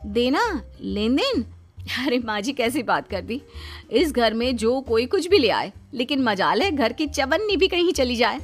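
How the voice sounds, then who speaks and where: A woman voicing a flustered, frugal young housewife in a cartoon story, excitable and protesting. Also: on the high side, measured pace, soft background music, pitched above the performer's own voice. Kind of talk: Hindi cartoon moral story dialogue.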